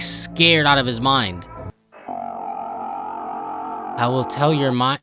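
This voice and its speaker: A young American man giving casual, joking reaction commentary in exclamatory slang. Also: mid-range voice. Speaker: host of a reaction channel.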